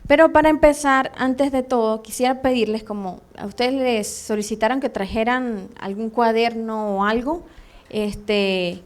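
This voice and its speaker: A Venezuelan woman with a Venezuelan accent teaching a church training class live, chatty and hesitant with many fillers and soft laughs. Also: high voice. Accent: Venezuelan Spanish. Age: adult, has a son. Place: church meeting room.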